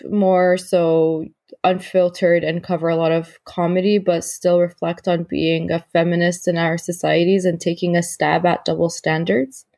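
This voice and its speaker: Lower-voiced chatty woman host. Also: flat delivery, normal speed, gravelly, darkish tone. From Jordanian American. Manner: enthusiastic and chatty, many fillers. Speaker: podcast host interviewing a guest. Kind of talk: casual interview chat.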